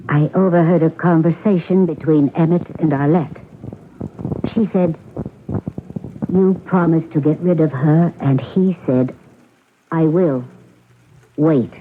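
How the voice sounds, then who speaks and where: Older stage actress in a radio play, theatrical n ladylike, sardonic, thin hissy old recording. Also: deep voice. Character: aging stage actress, poised, sardonic suspected murderess. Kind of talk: radio drama acting. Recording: noisy, narrow-band vintage radio drama recording.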